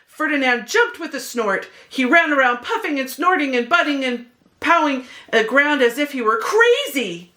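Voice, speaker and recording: A woman's voice: high-pitched, animated, normal speed, pushed and bright. A woman narrating a children's picture book expressively, as a read-aloud host speaking to young listeners.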